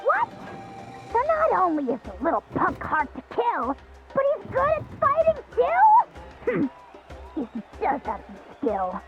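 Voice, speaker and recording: An actor voicing a small, excitable, cheeky creature aide in a video game, exclamatory and childlike. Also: extremely high pitch, a little slow, long pauses, muffled recording, loud music behind the voice, heavily acted. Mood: grudging surprise. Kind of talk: video game character acting.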